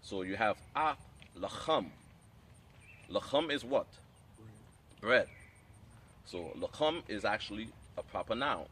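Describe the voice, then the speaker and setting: A man teaching a Hebrew class, explaining in a measured way and reading scripture aloud. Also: fairly low pitch, animated, a bit bright, slight background noise. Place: outdoor training camp.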